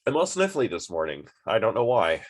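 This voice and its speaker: Low-voiced sniffly man lecturing casually. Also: very animated, a little fast. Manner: chatty, rambling, many fillers and restarts. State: sniffly, stuffed up. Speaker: philosophy lecturer.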